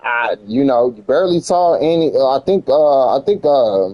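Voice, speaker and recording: A man's voice: mid-range voice, animated, normal speed, dry recording, dull audio. American man, slangy livestream talk, dry sound. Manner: slangy, repeats phrases for emphasis. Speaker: live streamer. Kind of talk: casual livestream commentary chat.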